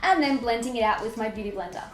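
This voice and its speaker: A woman's voice: on the high side, fast, echoey room, soft background music. Chatty young woman, nasal with a cold. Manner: chatty, upbeat, self-mocking. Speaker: beauty vlogger. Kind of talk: makeup tutorial with voice-over. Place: indoor room with fairy lights.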